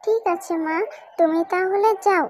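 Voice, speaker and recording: An eager little fox girl from a children's cartoon, voiced by a woman. Her voice is extremely high and childlike. She pleads and wheedles, repeating her requests. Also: unhurried, a little mellow, slight background noise. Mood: pleading. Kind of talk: children's cartoon story voice acting.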